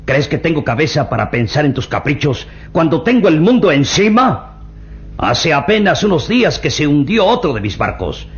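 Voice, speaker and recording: Stressed rich dad, higher voice, exasperated, muffled old radio sound. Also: male voice, very animated, normal speed. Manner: exasperated, blustering. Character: stressed rich old male tycoon, blustering father.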